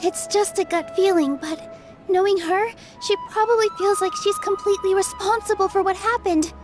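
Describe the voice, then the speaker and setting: A woman playing a gentle, earnest young female dancer in an English anime game dub, with a soft and sincere manner. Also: very high-pitched, steady tone, moderate pace, over music. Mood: worried.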